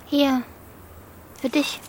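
A woman's voice: extremely slow, a little hiss or hum. An actress playing a level-headed, shrewd thirteen-year-old girl, terse and matter-of-fact, in a radio drama. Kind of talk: German radio drama acting.

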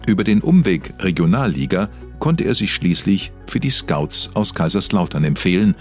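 A man with a very deep voice narrates an investigative documentary. His scripted delivery moves up and down with plenty of melody, and music plays underneath. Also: very animated, normal speed. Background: background music.

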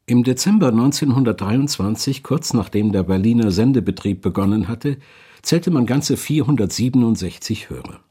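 A man's voice: mid-range voice, lively intonation, moderate pace, warm tone, husky. A man delivering scripted narration as a documentary narrator.

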